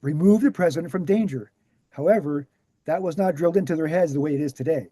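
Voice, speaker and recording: Casting: man to play earnest male author-lecturer, very mellow and soft-edged, slightly high pitch, measured explanations, dry close sound. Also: no room sound, close to an everyday voice. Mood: earnest, explanatory. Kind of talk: screenplay table read, explanatory lecture.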